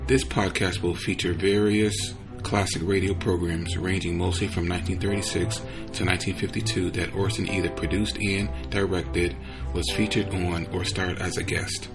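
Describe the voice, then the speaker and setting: A man's voice: sits low, flat delivery, moderate pace. A man hosting a podcast, giving an informal, unpolished introduction. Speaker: podcast host. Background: music under the voice.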